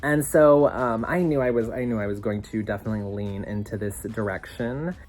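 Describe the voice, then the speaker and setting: A drag competitor speaking in a confessional interview, expressive and self-deprecating and swearing casually. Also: mid-range voice, normal speed.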